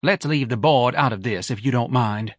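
A man voicing a wealthy middle-aged wife who needles her husband with sarcastic, drawn-out nagging. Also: mid-range voice, dry recording, studio quality, close to an everyday voice. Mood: needling.

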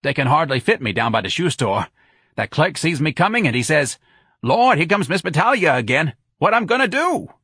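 Brash working-class woman acted by a male narrator, audiobook dialogue, bossy and scolding, husky, animated delivery. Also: on the high side, normal speed, no room sound, clean studio sound, voice pushed up, exaggerated voice. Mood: brash, pushy. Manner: pushy, emphatic, scolding.

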